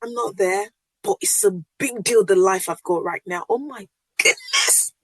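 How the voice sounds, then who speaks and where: A woman's voice: medium pitch, huge pitch swings, unhurried, fairly bright tone, slightly rough, no echo. Nigerian lady hosting her podcast, chatty and upbeat, laughs a lot, dry close sound. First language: Yoruba. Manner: chatty and upbeat, laughs often, lots of fillers. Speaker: podcast host. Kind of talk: casual solo podcast chat.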